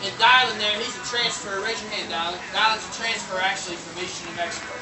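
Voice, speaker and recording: A man's voice: high voice, steady tone, fast, very noisy. A man coaching football, casually introducing his players to a crowd with an upbeat, loose manner. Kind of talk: informal team introductions to a crowd. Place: outdoor sports event. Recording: echoey, distant mic with loud music.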